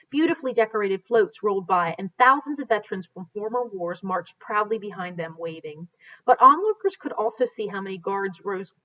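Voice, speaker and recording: An American woman reads aloud like a teacher to students, with an explanatory delivery. Her voice sits at a middling pitch. The recording is slightly dull and lo-fi. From United States. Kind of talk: read-aloud of a history book with explanatory asides. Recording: dull, lo-fi sound.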